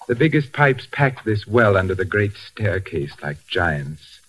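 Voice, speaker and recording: A silky, murderous organist. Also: male voice, fairly low pitch, sing-song, measured pace, exaggerated voice, poor audio. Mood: menacing. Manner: soft, silky menace turning to panic. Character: calm sinister male organist murderer, cruel. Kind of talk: old-time radio drama acting.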